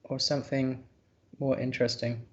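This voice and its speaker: A man's voice: mid-range voice, level tone, moderate pace, dull audio. A man commentating a live board game, thinking aloud in a hesitant, musing way.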